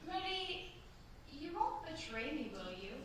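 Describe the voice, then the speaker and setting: A woman's voice: high-pitched, big pitch swings, takes it slowly, fairly bright tone, heavily acted. A woman playing a bold, secretive, romantic teenage girl in a staged radio play, theatrical and confiding. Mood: urgent, pleading. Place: theatre stage before an audience. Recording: echoey stage, distant mic, clear hiss.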